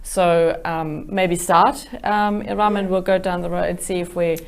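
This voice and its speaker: A woman from South Africa whose first language is German, moderating a panel with a measured, hesitant delivery. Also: lowish voice, steady tone, gravelly, a little hiss or hum. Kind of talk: casual panel discussion.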